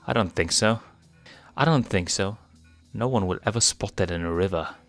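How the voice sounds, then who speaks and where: A man's voice: fairly low pitch, normal speed, fairly bright tone, faint music behind. A man voicing a young male lion cub, eager and boastful, in a raised voice. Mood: cocky. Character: cocky boyish lion cub prince.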